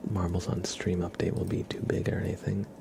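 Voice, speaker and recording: A man hosting an ASMR livestream, chatting casually to viewers in a soft, mostly whispered, gentle and unhurried murmur. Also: sits low, completely flat delivery, vocal fry, background noise.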